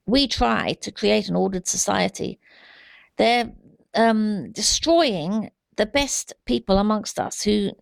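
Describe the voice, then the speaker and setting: A woman giving an unscripted, earnest monologue of exhortation, halting and repeating herself as she goes. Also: mid-range voice, creaky, no room sound.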